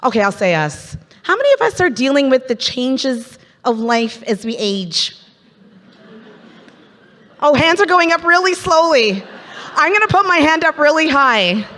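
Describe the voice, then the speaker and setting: A woman's voice: high-pitched, very animated, unhurried, a bit bright. A Canadian woman hosting a live business event as MC, chatty and playful as she draws the audience in over a stage microphone. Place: large conference hall. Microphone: handheld stage microphone. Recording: reverberant conference hall.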